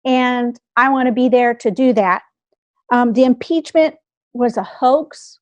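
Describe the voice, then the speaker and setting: A woman's voice: fairly high pitch, a little slow, no room sound, lo-fi sound. A woman running for office, answering a debate question with many hesitations. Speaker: political candidate.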